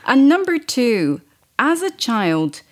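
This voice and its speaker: A woman's voice: sing-song, takes it slowly. A woman interviewer reading out numbered questions and answering with short backchannels. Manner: brief backchannels, rises in pitch on each question number.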